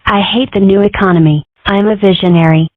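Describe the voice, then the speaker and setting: A woman's voice: mid-range voice, steady tone, a little fast, dry recording, natural voice. Brash little cartoon girl voiced by a woman, tinny audio. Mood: whimsical, opinionated. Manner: declarative, grandiose, rambling. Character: brash, self-important little cartoon girl, whimsical schemer. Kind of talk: comic strip dub. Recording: muffled, phone-like narrow audio.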